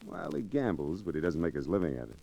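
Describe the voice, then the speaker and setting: An actor playing a brash, wary saloon gambler in an old-time radio western, with a slangy, tough, offhand way of talking. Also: male voice, deep voice, sing-song, fast, creaky, warm tone, slight background noise, heavily acted. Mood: easy, amused.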